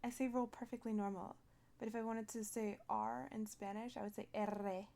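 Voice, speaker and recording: A woman's voice: fairly high pitch, steady tone, moderate pace, vocal fry, warm tone. A woman talking in character during a roleplay, playful and slipping into Spanish phrases. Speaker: roleplay player.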